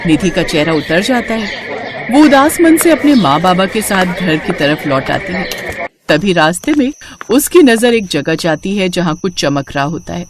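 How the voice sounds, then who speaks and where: A woman's voice: lowish voice, big pitch swings, unhurried, background noise. A woman narrating a scripted moral story for children as its storyteller.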